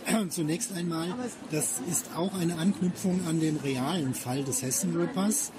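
Ein Mann erklärt als interviewter Autor sein Werk, bedacht und in ausführlichen, förmlichen Sätzen. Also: male voice, fairly high pitch, a little slow, noisy background. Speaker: author being interviewed. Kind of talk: explanatory interview talk.

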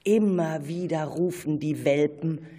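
A narrator telling a children's radio play, addressing listeners conversationally in a husky voice. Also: mid-range voice, unhurried, dark tone.

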